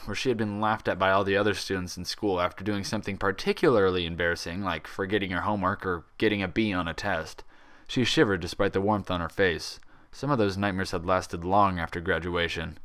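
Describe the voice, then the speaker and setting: A man narrating a fan fiction story as an expressive reader. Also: sits low, smooth.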